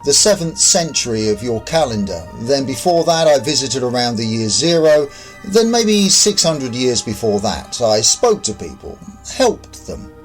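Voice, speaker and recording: A man's voice: mid-range voice, very animated, measured pace, faint music behind, rough recording. A man voicing an ancient, patient otherworldly visitor who explains things in a sci-fi audio story. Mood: matter-of-fact.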